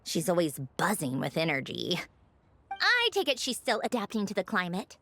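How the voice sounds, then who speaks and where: Haughty, teasing noblewoman, very animated. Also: lowish voice, normal speed, noisy background. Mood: teasing, curious. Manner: poised, arch, teasing, self-assured. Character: poised high-ranking female Harbinger, haughty, teasing, genteel. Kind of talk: video game voice acting. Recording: game music behind the voice. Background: background music.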